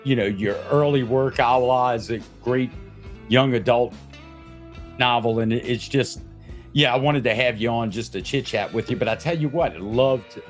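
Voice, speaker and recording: A man hosting a casual, chatty interview show over a video call, rambling and halting as he repeats himself. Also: mid-range voice, faint music behind.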